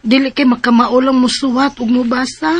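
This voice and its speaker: High-voiced, pleading teenage girl. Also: little pitch movement, normal speed, a little hiss or hum. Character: earnest, pleading fifteen-year-old girl who longs for school, also narrating grown. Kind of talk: radio drama acting and narration. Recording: radio drama with music behind.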